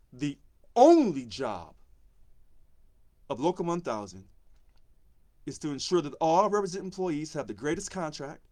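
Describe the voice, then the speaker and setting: Deep-voiced man giving an emphatic campaign pitch. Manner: emphatic, repeats key phrases for stress. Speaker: union presidential candidate. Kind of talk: campaign speech on a live stream.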